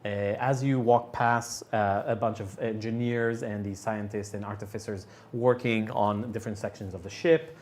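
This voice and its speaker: Halting low-voiced male game master. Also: fairly even intonation, a little mellow. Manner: halting, hesitant delivery full of filler sounds. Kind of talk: improvised tabletop game narration.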